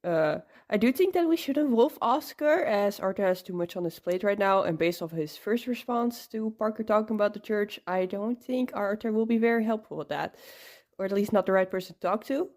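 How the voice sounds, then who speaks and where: A woman's voice: mid-range voice, expressive, fairly quick, darkish tone. A woman giving casual fan commentary in a listener voice submission.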